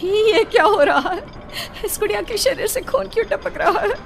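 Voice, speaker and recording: A woman's voice: extremely high pitch, animated, moderate pace, gravelly, noisy background, exaggerated voice. A woman playing a guilt-ridden, desperate mother in a horror cartoon, pleading and tearful. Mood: frightened, desperate.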